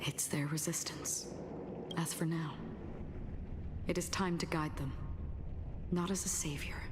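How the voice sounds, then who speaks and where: A grave, philosophical video game heroine with a low, unhurried voice. She speaks softly and formally, pausing with quiet conviction. Her words hang in long reverb. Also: noisy background. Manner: measured, grave, formal, with deliberate pauses. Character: solemn, calm young woman, a philosophical guide of quiet conviction. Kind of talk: scripted video game story dialogue. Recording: long reverb, loud game music behind.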